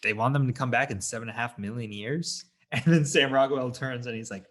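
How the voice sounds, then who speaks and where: A man co-hosting a casual film review podcast, talking haltingly as he searches for words. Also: medium pitch, a bit bright. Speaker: podcast co-host.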